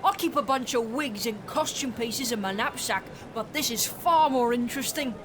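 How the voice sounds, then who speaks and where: A woman playing a curious, excitable youngster who is eager and wide-eyed in an audio drama. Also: on the high side, normal speed, noisy background, natural voice. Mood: fascinated.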